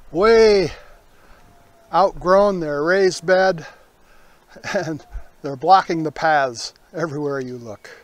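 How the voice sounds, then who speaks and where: A man, hosting a casual garden tour in a folksy, unhurried way outdoors. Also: a little high. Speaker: gardening vlog host.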